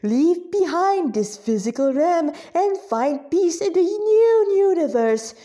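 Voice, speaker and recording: A false elephant god standing guard, voiced by a woman, solemn and zealous. He preaches in drawn-out phrases at a raised pitch, a storybook fanatic inviting souls to salvation. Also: big pitch swings, dark tone, heavily acted. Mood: fervent preaching.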